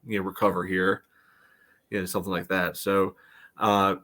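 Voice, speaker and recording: A man co-hosting a soccer fan podcast, reasoning through the game in a measured, casual way and often saying "you know". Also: sits low, little pitch movement, fairly bright tone. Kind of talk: casual match analysis.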